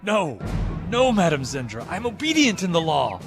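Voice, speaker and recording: A man's voice: high-pitched, very animated, normal speed, over music. Frantic condemned male citizen acted by a man. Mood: terrified pleading. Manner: pleading, frantic. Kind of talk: audio drama acting.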